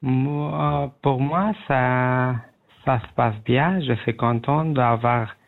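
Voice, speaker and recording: Slow, creaky Afghan-accented man on the phone. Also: mid-range voice, fairly even intonation. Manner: polite, halting, searching for words. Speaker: radio phone-in caller. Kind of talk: hesitant conversation in a second language. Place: at home, on the phone. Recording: tinny narrowband phone line.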